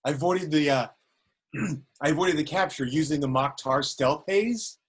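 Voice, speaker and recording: A man's voice: a little high, measured pace, slightly rough. A man voicing an eager, devoted young alien protege in a script table read. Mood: eager, excited.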